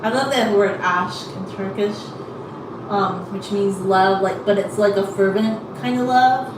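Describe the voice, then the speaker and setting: A woman's voice: medium pitch, a little slow, reverberant, far from the mic, noisy background. A woman chatting casually in a rambling way with many fillers while she combs out her hair. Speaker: vlogger.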